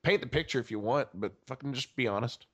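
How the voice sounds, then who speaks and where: Blunt man with a rough smoker's voice. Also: mid-range voice, fast, fairly bright tone. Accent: faint Tennessee. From Tennessee. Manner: blunt, rambling, many restarts. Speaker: podcast guest. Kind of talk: casual profane chat. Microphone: podcast microphone.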